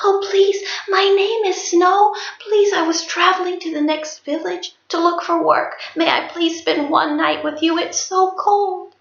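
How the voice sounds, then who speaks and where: A woman voicing a delicate young snow wife in a high, light, earnest voice for a folk tale. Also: steady tone, measured pace, gravelly, hardly any pauses, heavily acted. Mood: pleading. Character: young pale snow wife, delicate, pleading, later angry. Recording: roomy, slightly dull sound.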